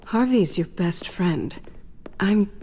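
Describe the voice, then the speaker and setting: An actress playing a guarded, conflicted young woman thief in a video game drama, breathy and husky, uneasy and evasive. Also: medium pitch, expressive, takes it slowly, warm tone, a little hiss or hum. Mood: troubled, wondering.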